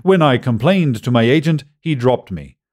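A man's voice: medium pitch, animated, moderate pace, a little mellow, close to an everyday voice. A man voicing an aggrieved film-star robot with the fruity, theatrical manner of a stage actor, in a science fiction audiobook. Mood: aggrieved, proud.

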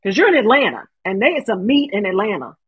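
A woman in her fifties hosting an interview over a video call from her home, animated and laughing easily. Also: medium pitch, fast, husky, highs cut off. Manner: animated, laughing, gestures with hands. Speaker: host. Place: home room.

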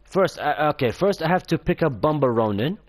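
Halting young man on gaming voice chat. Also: a little high, lively intonation. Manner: halting, many fillers, mixes in Japanese phrases. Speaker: gaming friend. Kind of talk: casual voice chat while gaming.

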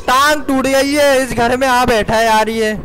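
A man's voice: very high voice, fairly even intonation, unhurried, low-quality recording. A man commentates live on his gameplay. He talks to himself and to viewers in short exclamations, with faint music from the game behind him. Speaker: game streamer.

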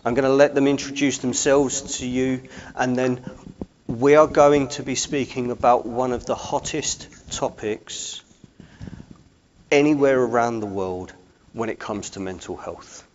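A man in his forties hosting a talk show from a studio desk on a lapel mic, with slow, emphatic presenter delivery. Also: medium pitch, fairly even intonation, lo-fi sound, a little hiss or hum. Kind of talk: broadcast interview hosting.

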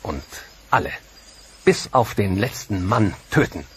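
A man's voice: fairly low pitch, very animated, unhurried, slight background noise. A man voicing a defiant Inca ruler who rallies his chiefs with an impassioned, rousing speech. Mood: furious. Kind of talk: dubbed documentary reenactment.

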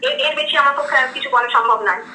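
A young woman speaks quickly in an extremely high voice with a West Bengal Bengali accent. She protests indignantly over a phone call. The sound is echoey, with faint background noise. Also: pushed and bright. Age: young adult. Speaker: prank call recipient. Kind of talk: phone conversation.

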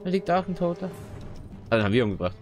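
A man's voice: fairly high pitch, very animated, very fast. A man from Austria giving casual, slangy gameplay commentary, dropping in English exclamations. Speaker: let's player. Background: game music and clicking.

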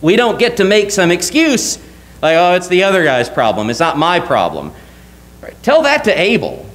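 Animated young man preaching from a pulpit. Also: high-pitched, normal speed. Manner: earnest, emphatic, rhetorical questions. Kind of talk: sermon. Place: church sanctuary at a pulpit. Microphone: lectern mic, wide camera.

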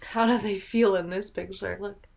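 A young woman reading a picture book aloud to children in an expressive, dramatic way, pausing to ask the listener questions. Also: mid-range voice, creaky. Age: young adult. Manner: dramatic, drawn-out delivery with gasps and prompting questions. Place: small room at home, indoors.

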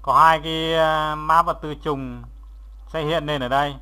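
A man narrating a step-by-step software tutorial as an instructor, in a halting, instructional way, repeating steps as he goes. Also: a little high, fairly even intonation, smooth.

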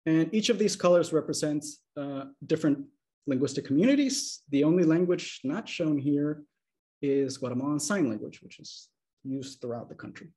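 A man in his thirties giving a measured, explanatory academic lecture over a video call, checking in with his listeners. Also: on the high side, mellow. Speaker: linguistics lecturer. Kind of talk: academic slide presentation. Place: small room indoors. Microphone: video call webcam.

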